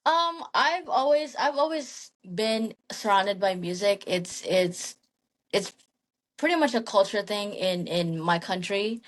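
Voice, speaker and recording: A woman's voice: mid-range voice, expressive, slow. A young woman from the Philippines, a singer and guest, talking casually in an interview with frequent ums and restarts. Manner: soft-spoken, frequent ums and restarts, light laughs.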